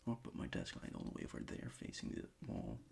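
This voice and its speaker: A man who is not a native English speaker, chatting softly in his bedroom for ASMR, rambling and often losing his thread. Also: brisk. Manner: rambling, scatterbrained, often restarting; mostly whispered, soft and unvoiced. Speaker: ASMR creator. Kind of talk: soft-spoken ASMR chat. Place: bedroom at a desk. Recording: home recording with clear background noise. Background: crinkling and crumpling of objects.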